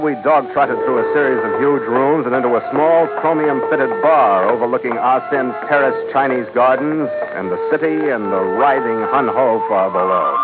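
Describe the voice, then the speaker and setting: Tough sardonic adventurer skipper played by a man, hardboiled and clipped; old radio drama, thin sound with loud music. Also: mid-range voice, natural voice. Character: tough, wry American sea captain adventurer hero. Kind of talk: 1940s-style radio acting and first-person narration. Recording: tinny vintage radio, loud music behind.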